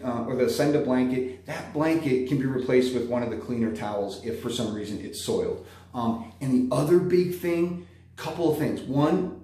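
A man in his thirties talks straight to the camera as a dog trainer, listing practical tips and closing points with "okay?". His voice sits at an average pitch, and the room around him is very echoey. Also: dark tone. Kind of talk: instructional vlog. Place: indoor room by a window.

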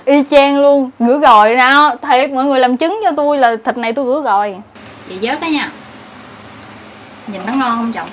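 A woman's voice: sits high, fairly quick. A woman in her twenties with a southern Vietnamese accent, chatting casually and playfully to viewers as a vlogger. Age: 20s. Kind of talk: casual vlog chat to viewers. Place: small apartment, kitchen and living room. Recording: clear household background noise.

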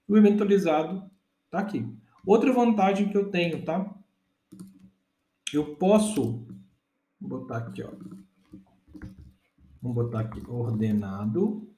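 A man's voice: fairly high pitch, animated, moderate pace, long pauses, warm tone, reverberant, slight background noise. A man in his thirties with a Brazilian Portuguese accent, teaching a casual data engineering lesson step by step and often checking that his listeners follow.

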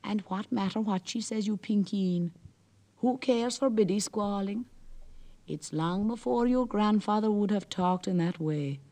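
A man's voice: high-pitched, unhurried, dark tone, voice pushed up. A man voicing a sly, jovial old male merrow who talks in a knowing, wheedling way.

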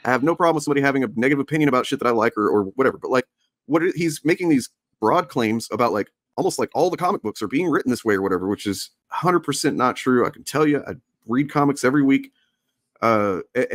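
A man's voice: mid-range voice, animated, a bit bright, no echo, studio quality. A man hosting a casual livestream, ranting bluntly and swearing freely. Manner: blunt, swearing, rapid rants. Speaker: livestream host.

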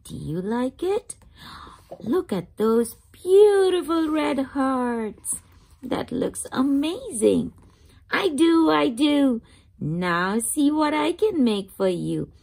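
A woman reading a picture book aloud to young children, expressively, pausing to ask simple questions like a teacher. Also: high-pitched, sing-song, creaky, a little mellow. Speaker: host of children's read-aloud channel.

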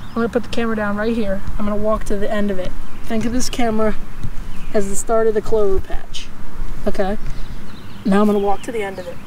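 A man's voice: high voice, flat delivery, quick pace, darkish tone, a little hiss or hum, poor audio. Chatty young man vlogging in a backyard. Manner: chatty, rambling, restarts and trails off. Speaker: lawn care channel host. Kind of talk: casual outdoor vlog narration. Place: outdoors in a grassy townhouse backyard. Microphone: action camera at arm's length.

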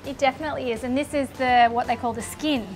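A woman's voice: high voice, steady tone, quick pace, faint music behind. A woman, a renovation designer, bantering playfully on a building site. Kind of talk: casual chat on a building site.

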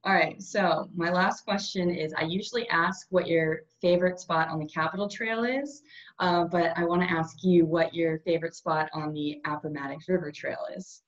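A woman's voice: fairly low pitch, moderate pace. A woman, hosting a video-call interview in a cheerful, encouraging way from a small plain room. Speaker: host. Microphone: webcam on a video call. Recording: dull lo-fi webcam sound.

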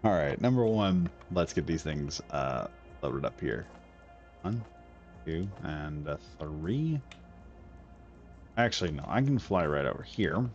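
A man's voice: rumbling low, lively intonation, takes it slowly, long pauses. A man streaming a building game, rambling casually and talking himself through each step as he builds. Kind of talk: casual gameplay commentary. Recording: slightly dull audio with faint music.

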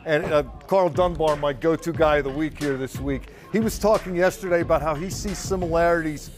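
A man's voice: on the high side, a little fast, faint music behind. Older man giving sideline football analysis. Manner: conversational, analytical, occasional dry humor. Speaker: team reporter. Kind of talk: casual sideline chat. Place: outdoors at a practice field.

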